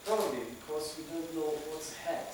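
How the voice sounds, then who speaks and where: A man from the host university giving a formal welcome before a lecture, halting, with many fillers and restarts. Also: on the high side. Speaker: university host introducing the speaker. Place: large lecture hall. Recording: echoey lecture hall, mic far off, background noise.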